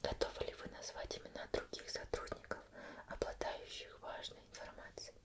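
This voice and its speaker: A whispered ASMR roleplay voice: a woman playing a female investigator who questions patiently and formally. Every phrase is hushed, measured and gentle. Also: lightly acted. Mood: probing, serious.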